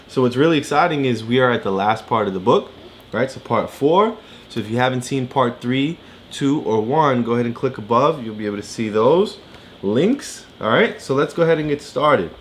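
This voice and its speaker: A woman's voice: extremely low pitch, expressive, moderate pace. A woman co-hosting a casual read-aloud for a child, explaining in an encouraging way with frequent check-in questions. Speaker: parent co-host of a read-aloud. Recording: faint background noise.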